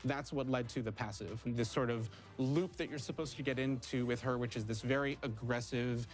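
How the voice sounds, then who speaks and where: A man's voice: mid-range voice, steady tone, a little fast, faint music behind. A man explaining design choices in a promotional developer interview. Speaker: game designer.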